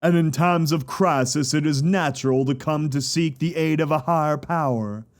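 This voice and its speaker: A man's voice: on the high side, normal speed, natural voice. A man playing a zealous pony preacher in an audio drama, delivering a solemn, formal, oratorical sermon to his congregation. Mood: grave, consoling.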